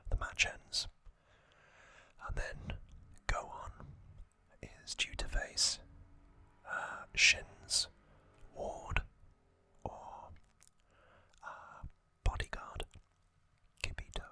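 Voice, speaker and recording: An ASMR host whispering trivia, softly repeating single words as triggers and reading from a box cover. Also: very slow, long pauses. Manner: reads aloud from a box cover.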